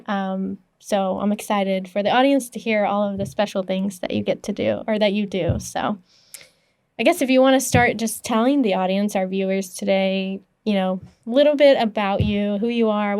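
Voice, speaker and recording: Woman podcast host, upbeat, lots of yeps and mm-hmms, mid-pitched. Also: darkish tone, clean tone. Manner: upbeat, encouraging, quick affirmations and laughs. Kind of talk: casual interview hosting.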